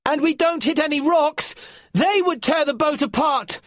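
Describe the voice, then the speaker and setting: A man voicing a brave, practical young boy sailor who shouts urgently over a storm. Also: sits high, wildly swooping pitch, normal speed, no room sound, studio quality, voice pushed up, full character voice. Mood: shouting.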